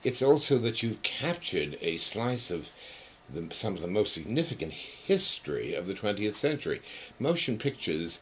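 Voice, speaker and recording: A low-pitched, mature male interviewer speaks in an effusive, wordy way, circling around his phrases. It is a conversational interview on a noisy old tape in a studio office. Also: sing-song, moderate pace. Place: photographer's home studio office.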